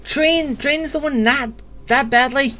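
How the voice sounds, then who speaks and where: A woman's voice: high voice, slow, pushed and bright, a little hiss or hum. A woman giving casual, musing gameplay commentary as a streamer, in an informal way. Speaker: streamer playing a game.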